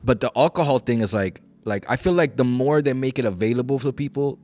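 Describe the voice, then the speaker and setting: A man's voice: mid-range voice, a little fast, darkish tone. A young adult man hosting a podcast, talking in a loose, slangy, profane casual style. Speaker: podcast host.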